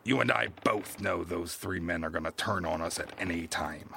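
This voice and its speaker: A man playing a gruff, scheming captain of an old sailing ship in an audio drama, with commanding, salty seafaring speech. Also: low voice, normal speed, slight background noise, exaggerated voice. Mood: grave, scheming. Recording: loud ship and sea ambience behind.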